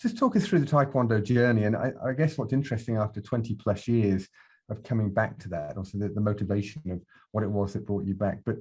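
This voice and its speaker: A man's voice: on the low side, fast, a little mellow, husky. A man hosting a podcast interview, upbeat and welcoming as he asks questions. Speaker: podcast host.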